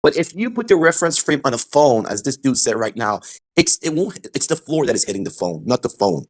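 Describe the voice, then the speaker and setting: A man's voice: mid-range voice, big pitch swings, a little fast. A man arguing his point in a heated online debate, insistent and repeating himself. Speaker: debate participant.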